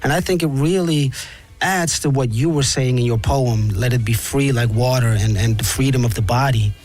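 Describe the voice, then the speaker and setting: A man's voice: mid-range voice, normal speed, a little mellow. A man hosting a radio interview, talking conversationally and explaining things to the listeners at home. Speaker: radio host. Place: radio studio. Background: faint background music.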